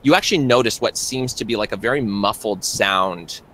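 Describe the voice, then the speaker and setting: A man working as game master, improvising narration for a horror roleplay in a halting way, often circling back over his phrases. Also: fairly low pitch, a bit bright, rough recording.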